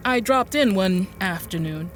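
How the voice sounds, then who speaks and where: A woman's voice: mid-range voice, animated, measured pace, a little hiss or hum, lightly acted. An actress playing a prim, genteel woman in an audio drama, confiding her story in a hesitant, rambling, over-polite way. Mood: confiding, fussy. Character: prim, fussy, genteel woman recounting a dark tale. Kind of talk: audio drama acting, confiding first-person narration.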